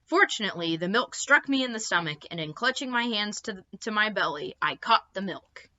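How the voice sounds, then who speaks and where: A woman reading a children's storybook aloud in an expressive narrating style. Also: mid-range voice, moderate pace, lo-fi sound.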